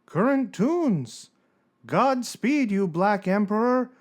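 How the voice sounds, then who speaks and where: A woman's voice: medium pitch, expressive, takes it slowly. A woman playing a pretentious, frustrated poet from a cartoon, reading a blog in a brooding, melodramatic way. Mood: gloomy, weary.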